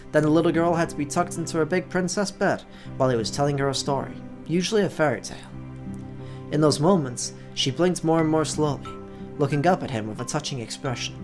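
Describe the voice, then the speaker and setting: A let's play host with an expressive storytelling delivery, speaking to viewers. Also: mid-range voice. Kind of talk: expressive story narration, read aloud. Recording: music playing behind the voice. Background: background music.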